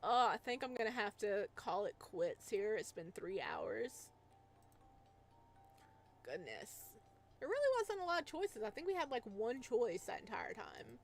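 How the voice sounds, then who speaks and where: A woman's voice: fairly high pitch, big pitch swings, a little slow, faint music behind. A woman chatting casually on a livestream as she plays a game, rambling in a giggly, playful way. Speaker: live streamer playing a visual novel.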